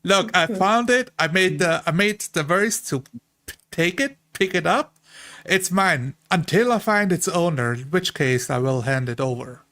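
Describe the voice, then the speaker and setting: A man's voice: high voice, normal speed, sharp tone. A man chatting casually as a tabletop roleplay player, slipping into short acted-out quotes as he makes his points. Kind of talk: casual animated group discussion.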